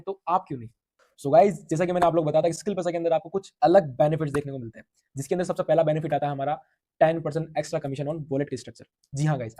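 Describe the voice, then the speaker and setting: A man giving an energetic sales pitch for a referral income scheme, piling on hyped repetition.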